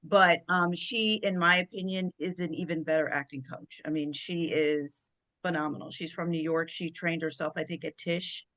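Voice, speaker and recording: A woman's voice: sits low, steady tone, normal speed, a bit bright. A woman, a guest actress on a video call, chatting casually and stressing her points with repeated phrases. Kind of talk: casual chat.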